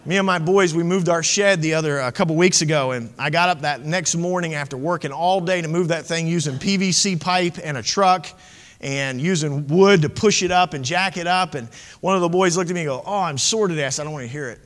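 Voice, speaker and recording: A man's voice: fairly high pitch, brisk, fairly bright tone, in a large hall. A man in his late thirties, preaching a sermon as pastor, impassioned and conversational with asides to the congregation. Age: 30s.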